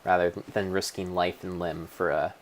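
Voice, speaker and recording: A man delivering a mock-dramatic storybook narration of a game, with grandiose, theatrical and deliberate phrasing. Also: low voice, flat delivery, slight background noise. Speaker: streamer narrating own gameplay.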